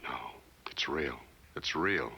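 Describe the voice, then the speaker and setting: A man's voice: a bass voice, expressive, slow pace, bright, forceful tone, husky, full character voice, low-quality recording. An actor playing a bewildered, desperate businessman in his thirties in a 1960 TV drama, intense and pleading. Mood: shaken, pleading. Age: 30s. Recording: old TV soundtrack with score.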